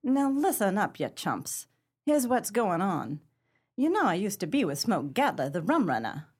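A woman playing a husky, tough gun moll. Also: mid-range voice, very animated, normal speed, darkish tone. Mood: bossy, scheming. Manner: brassy slangy gangster talk. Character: tough, proud 1930s gun moll gang leader. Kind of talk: pulp crime radio play acting.

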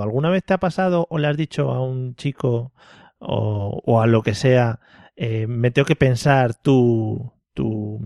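A man with a Spain accent hosts a relaxed, chatty podcast with a teasing, joking delivery. His voice is mid-pitched and recorded close and dry, with no room sound. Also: no echo. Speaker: podcast host. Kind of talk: casual chat.

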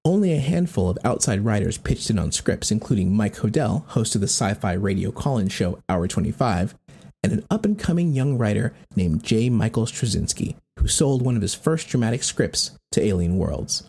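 A man's voice: on the low side, big pitch swings, normal speed, creaky, a little mellow, slightly rough. An American man hosting a podcast, reading scripted narration. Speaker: podcast host.

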